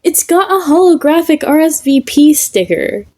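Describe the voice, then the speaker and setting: A woman voicing an eager, earnest, excitable teenage boy in a fan fiction story. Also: high voice, normal speed, no echo, pitched above the performer's own voice.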